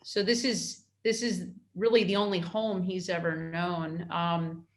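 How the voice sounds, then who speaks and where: A middle-aged woman from New York, a consul answering interview questions in a halting way, with many fillers and restarts. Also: lowish voice, little pitch movement, echoey room. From New York, United States.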